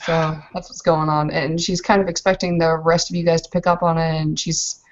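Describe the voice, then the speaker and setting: A woman's voice: low voice, little pitch movement, fairly quick. Casual woman gamer, muffled recording. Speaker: tabletop roleplaying player. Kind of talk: casual game chat and narration. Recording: dull muffled lo-fi audio.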